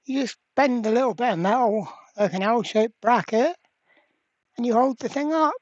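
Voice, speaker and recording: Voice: mid-range voice, moderate pace, darkish tone, no echo, lo-fi sound. A repair vlogger giving casual, hands-on narration, thinking aloud in a blunt, exasperated way. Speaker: host of a radio repair channel.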